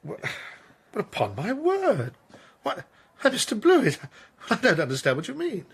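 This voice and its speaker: An actor playing a smooth, scheming gentleman captain and card-sharp in a period radio drama, with a florid, affected manner. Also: high-pitched, huge pitch swings, normal speed, heavily acted. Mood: haughty, contemptuous. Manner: theatrical, hesitating with affected politeness.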